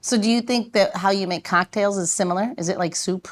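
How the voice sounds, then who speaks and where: A woman's voice: medium pitch, a little fast. A woman co-hosting a podcast, chatting casually with the others. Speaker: podcast co-host. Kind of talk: casual chat.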